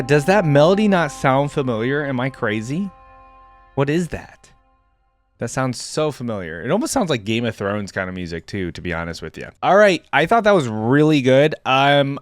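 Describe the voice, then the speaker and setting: Male gaming reactor, mid-pitched voice, chatty, thinks aloud, clear music underneath. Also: dry recording. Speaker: host of a gaming reaction channel. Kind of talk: casual reaction commentary. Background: game theme music.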